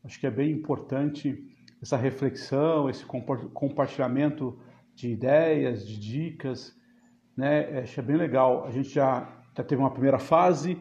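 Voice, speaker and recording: A man's voice: medium pitch, a little mellow, highs cut off, slight background noise. A Brazilian man hosting a live online talk over a video call, talking casually with restarts and greeting viewers by name. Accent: Brazilian Portuguese. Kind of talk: casual live-stream interview.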